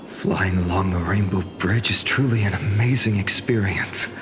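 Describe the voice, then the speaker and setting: A man as a low-voiced, thoughtful young adventurer. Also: noisy background, natural voice. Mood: awed. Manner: measured, explanatory, formal. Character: thoughtful, scholarly young male adventurer, quietly awed. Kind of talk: video game cutscene acting. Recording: prominent background music under the voice.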